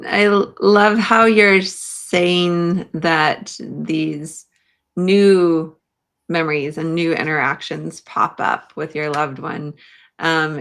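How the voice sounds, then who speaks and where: A woman's voice: low voice, vocal fry, a bit bright. A woman hosting a casual interview, reflective and appreciative, thinking aloud as she talks. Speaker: podcast host.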